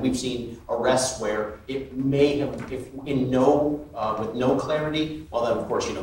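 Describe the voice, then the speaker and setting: A man on a conference panel talks in a halting way, often restarting his sentences. His voice is picked up from a distance in a very echoey hall, with faint background noise. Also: mid-range voice. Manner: halting, many restarts and fillers. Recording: echoey hall, mic from afar.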